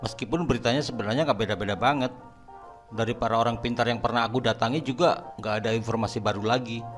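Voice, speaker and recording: A man voicing an eager young male assistant who asks quick questions. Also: mid-range voice, faint music behind, close to an everyday voice.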